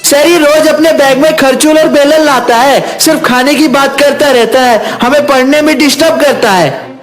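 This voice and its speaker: Casting: very high, childlike schoolboy played by an adult for a moral story cartoon, music behind. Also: moderate pace, close to an everyday voice. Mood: whiny, complaining. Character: effeminate schoolboy who loves cooking, and teasing classmates.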